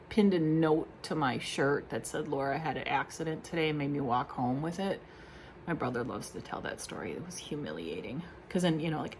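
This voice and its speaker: Woman vlogger, low voice, rambling and self-deprecating, slight background hiss. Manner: rambling, chatty, self-deprecating with many fillers. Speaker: beauty vlogger. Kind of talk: casual chat to camera.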